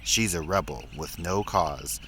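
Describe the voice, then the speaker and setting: Man acting out gruff barge-dwelling uncle, low voice, folksy slang, storybook read-aloud, some background noise. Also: measured pace, sharp tone. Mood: folksy, teasing. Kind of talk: storybook character voice.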